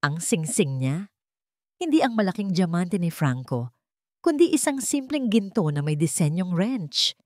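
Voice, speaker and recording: Narrator for a romance story drama, a woman with a lowish voice, dramatic storytelling, dry close sound. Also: big pitch swings, measured pace, a little mellow, no room sound. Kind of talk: scripted narration.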